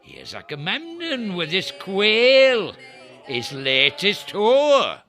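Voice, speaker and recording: An actor playing a scurrilous, jeering railer in a Shakespearean audio drama, mocking and theatrically declamatory. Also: male voice, high-pitched, sing-song, slow, sharp tone, heavily acted. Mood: sardonic. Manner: mocking, declamatory, erratic delivery. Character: scurrilous, jeering railer in a Greek war camp.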